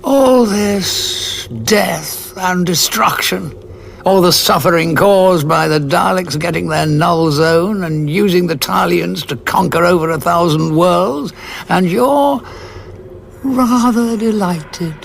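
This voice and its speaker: An actor with a British accent playing a weary, indignant old Time Lord warrior in an audio drama, gravelly, dry and sarcastic. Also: male voice, high-pitched, slow, a little hiss or hum, exaggerated voice. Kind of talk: full-cast audio drama acting.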